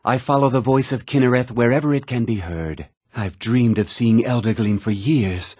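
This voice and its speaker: A man's voice: mid-range voice, normal speed, a little mellow, slightly rough, no room sound, studio quality, natural voice. A man playing a devout, earnest pilgrim who worships nature, speaking florid, theatrical video game dialogue. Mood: reverent, earnest.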